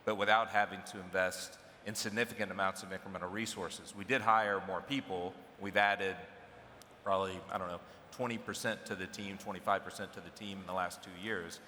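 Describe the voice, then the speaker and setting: A middle-aged man on a conference stage explains his approach with easy restarts and fillers. His voice sits low yet has a bright edge. It carries through a large, reverberant hall with faint room ambience behind it. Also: a little hiss or hum. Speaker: procurement executive panelist. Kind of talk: conference panel discussion.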